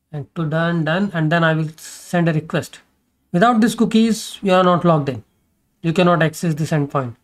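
Man talking through code. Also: fairly high pitch. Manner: talks through code step by step, many fillers and restarts. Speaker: programming tutor. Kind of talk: screen-recorded coding tutorial.

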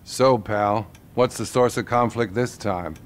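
An actor playing a glib, money-minded middle-aged therapist in a satirical video game cutscene, condescending and falsely soothing. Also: male voice, on the low side, measured pace, a little hiss or hum, natural voice.

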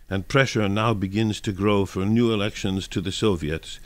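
A man with a fairly low voice gives a dramatic reading of a historical script. The sound is dry and close, with little room around it. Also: no room sound. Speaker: reader, narrator. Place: indoors, radio broadcast.

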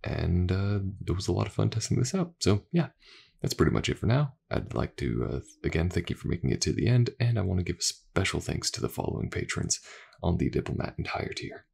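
A man speaks casually to his listeners as an ASMR voice actor signing off. His voice sits low and moves in a lively way as he gives his thanks. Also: a little fast, gravelly, husky.

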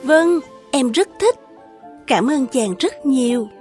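A woman voicing a gentle young woman in love in an animated folktale, deferential and yearning. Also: high-pitched, slow, soft background music. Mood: pleased.

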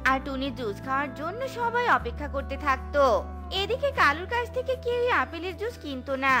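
A woman's voice: sits high, big pitch swings, brisk. A woman narrator reading a scripted story for children. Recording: music under the voice.

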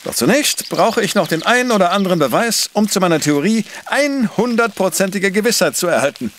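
Pompous, high-voiced male professor detective. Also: expressive, fairly quick, a bit bright, a little hiss or hum. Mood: confident, secretive. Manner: pompous, precise, lecturing. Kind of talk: German audio drama acting.

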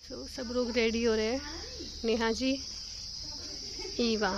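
A woman's voice: on the high side, flat delivery, slow, highs cut off, noisy background. A young woman doing casual, playful vlog commentary, mixing in English phrases, recorded on a handheld phone. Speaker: travel vlogger.

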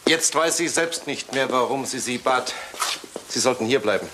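A man's voice: medium pitch, normal speed, natural voice. A male actor playing the brusque, impatient son of an English lord in a German radio crime drama, formal and commanding. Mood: irritated, controlled. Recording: faintly noisy old radio play recording.

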